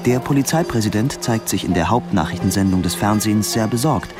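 An actor playing a dry, officious police log announcer in an audio drama, reading reports in formal, clipped phrases. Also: male voice, lowish voice, fairly quick, natural voice. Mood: formal, factual. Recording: radio drama production with music.

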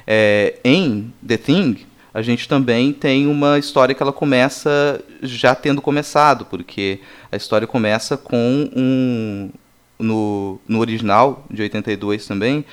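A Brazilian man with a medium-pitched voice speaks as a discussion host. He lays out film commentary in an explanatory, conversational manner, restating points as he goes. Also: unhurried, smooth. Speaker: podcast host.

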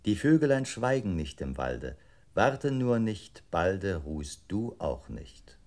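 A man's voice: on the low side, sing-song, slow, darkish tone. A man playing a computer processor in a radio play, reciting in a methodical, staccato, impersonal way. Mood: methodical, detached. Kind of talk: 1960s German experimental radio play.

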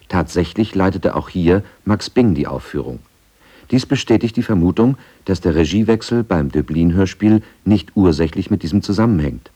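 A man's voice: low-pitched, warm tone, slightly rough. A man narrating a scripted radio documentary feature.

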